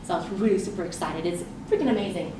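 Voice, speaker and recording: A woman who grew up speaking Romanian first gives a lively conference talk as a leadership coach, telling stories and acting out her own inner thoughts. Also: fairly low pitch, very fast. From grew up in Southern California. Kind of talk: live conference presentation. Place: event room with an audience. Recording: very echoey room, voice far from mic, background noise.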